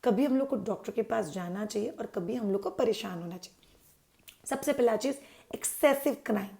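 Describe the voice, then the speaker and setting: A woman's voice: a little high, normal speed, vocal fry, mellow. A woman hosting a parenting channel, explaining baby care to parents in a chatty way, dropping English words into her talk and answering her own questions.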